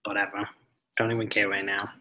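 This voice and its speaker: A man's voice: lowish voice, flat delivery, brisk, pushed and bright, slight background noise. A man chatting casually on a video call with a study partner, laid-back and easily distracted, cracking jokes before getting to coursework.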